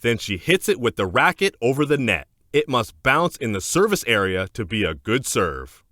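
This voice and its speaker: A man narrating a children's educational book aloud in a scripted, clear and deliberate instructional manner. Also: medium pitch, sing-song, a bit bright, no echo, studio quality.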